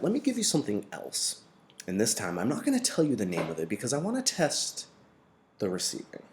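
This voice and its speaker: A man reading a storybook part as a weary old mentor, gentle and patient, with a deliberate, grave delivery. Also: sits low, slight background noise, full character voice.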